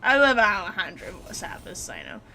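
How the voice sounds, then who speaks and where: A woman's voice: medium pitch, wildly swooping pitch, moderate pace, gravelly, pushed and bright, slight background noise. A woman doing casual let's-play commentary as a gaming streamer, blunt, sweary and joking.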